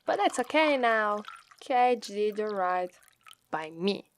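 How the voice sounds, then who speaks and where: A woman with an Italian accent voicing a gloating, teasing female demon captor in a slow, drawling ASMR roleplay. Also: medium pitch, very animated, slight background noise. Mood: smug, gloating. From Italy. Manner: slow, drawling, taunting, playful menace.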